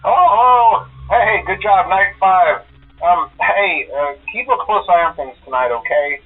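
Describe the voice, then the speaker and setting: An actor playing a nervous, evasive restaurant employee in a video game, hesitant and stammering through a telephone filter. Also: male voice, high voice, unhurried. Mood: chipper, awkward. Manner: hesitant, stammering, full of uhs. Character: nervous, evasive male restaurant employee leaving phone messages. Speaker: phone caller in a horror video game. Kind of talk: video game voice acting as a recorded phone message. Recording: tinny, band-limited phone line.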